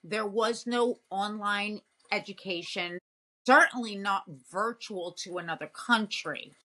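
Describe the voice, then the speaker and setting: A woman's voice: mid-range voice, unhurried, bright, forceful tone. A woman co-hosting over a video call who talks in an effusive, storytelling way about grooming. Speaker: co-host, dog groomer. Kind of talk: casual chat.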